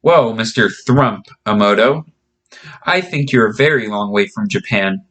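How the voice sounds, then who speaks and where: A man's voice: lowish voice, unhurried, fairly bright tone. A man voicing a friendly, reassuring young girl in a storybook reading, chatting and explaining things simply.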